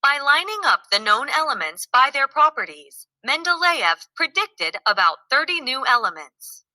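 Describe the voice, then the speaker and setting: A woman reading a scripted educational narration aloud, clearly enunciated and explanatory in manner. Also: high-pitched, big pitch swings, normal speed, sharp tone, no echo, low-quality recording.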